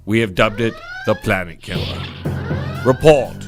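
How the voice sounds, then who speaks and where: A man's voice: animated, measured pace. A man playing a resolute starship captain in a sci-fi audio drama, authoritative and clipped in his delivery. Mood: grave log, then urgent command. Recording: loud music and engine rumble behind.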